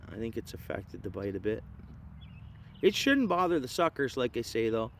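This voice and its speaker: Outdoor ambience sits behind a man with an Ontario Canadian accent and a medium-pitched voice. He talks like a livestream host out fishing, chatting with viewers in loose, slangy, laid-back phrases. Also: very animated, moderate pace. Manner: laid-back slangy talk, says dude, man, bro. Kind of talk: casual live chat with viewers. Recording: clear outdoor ambient noise.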